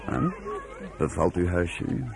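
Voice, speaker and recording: Casting: genial elderly professor, radio drama, creaky and very animated, talkative, expansive. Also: male voice, sits low, slow, a loud crowd, very noisy, exaggerated voice. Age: older. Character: kindly, talkative older professor running a youth village.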